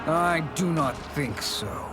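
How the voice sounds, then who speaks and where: A man playing an arrogant, menacing sorcerer in a video game, with a deliberate, haughty delivery. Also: a little high, lively intonation. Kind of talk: dramatic video game cutscene acting. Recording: loud music behind the voice.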